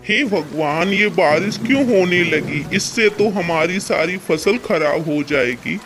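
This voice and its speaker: A man's voice: high-pitched, expressive, unhurried, a crowd in the background. A man playing a humble, worried pigeon father and farmer in a cartoon, earnest and pleading. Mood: distressed. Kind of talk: cartoon character acting.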